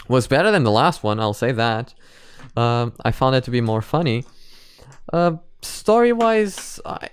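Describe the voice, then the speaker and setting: A man's voice: medium pitch, a little mellow, clean tone, dry recording, studio quality. A man hosting a reaction video, giving casual commentary and thinking aloud in a hesitant, repetitive way.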